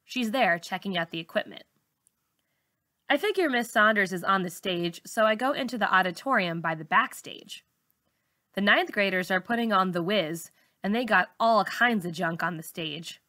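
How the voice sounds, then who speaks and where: A woman's voice: medium pitch, expressive, moderate pace, sharp tone. A woman teacher reading a first-person children's novel aloud for students, as narration.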